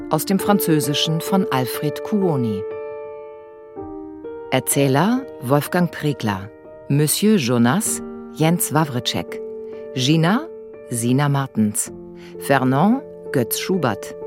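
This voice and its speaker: An announcer reads scripted credits over clear music. The delivery is slow, with lively, varied pitch.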